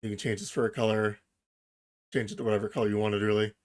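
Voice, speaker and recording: A man hosting a software tutorial, explaining each step informally and thinking aloud as he goes. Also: lowish voice, little pitch movement, quick pace. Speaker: tutorial host. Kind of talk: casual software tutorial narration.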